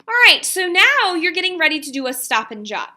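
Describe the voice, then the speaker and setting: A woman, an elementary teacher reading a picture book aloud and giving pupils instructions in an expressive, deliberate way. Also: extremely high pitch.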